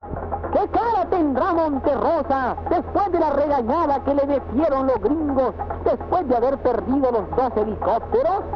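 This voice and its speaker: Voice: high voice, animated, unhurried, dull audio, over music. A performer playing a theatrical, mocking announcer promoting a satirical comedy radio serial with grandiose showmanship, on an old radio broadcast.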